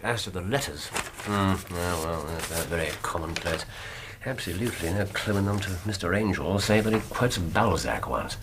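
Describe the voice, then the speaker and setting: An actor playing a brilliant, condescending Victorian gentleman detective in a radio drama, with an English accent and a theatrical, precise, lecturing manner. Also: low-pitched, normal speed, background noise. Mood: brisk, thoughtful.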